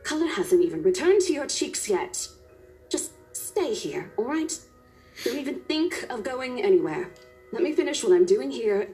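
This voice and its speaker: A woman's voice: mid-range voice, mellow, natural voice. A woman voicing a caring, firm young estate agent in a visual novel game, earnest and insistent as she coaxes a coworker.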